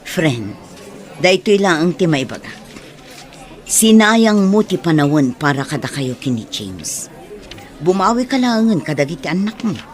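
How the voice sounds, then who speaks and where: A woman's voice: fairly low pitch, big pitch swings, unhurried. An actress with a Filipino Ilocano accent playing a concerned, frank mother counselling others in an audio drama. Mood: reproachful.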